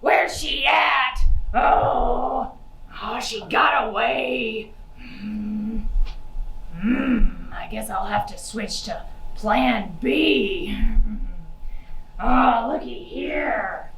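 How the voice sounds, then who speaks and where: A woman's voice: a little high, very slow, gravelly, fairly bright tone, slight background noise, exaggerated voice. A woman voicing a sneaky, hammy, bumbling big bad wolf who cackles, in a children's puppet show. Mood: scheming. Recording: roomy echo, distant mic, faint music.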